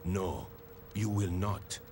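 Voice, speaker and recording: An actor playing a sinister, eerily calm voodoo henchman in a video game, unhurried and politely menacing. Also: low voice, a little hiss or hum. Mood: cold menace. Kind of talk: dramatic game voice acting.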